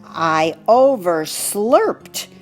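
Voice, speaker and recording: A woman voicing a greedy but kind-hearted male bee in a children's storybook, with drawn-out, dramatic delivery. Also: fairly low pitch, very animated, faint music behind, exaggerated voice. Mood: sheepish, generous.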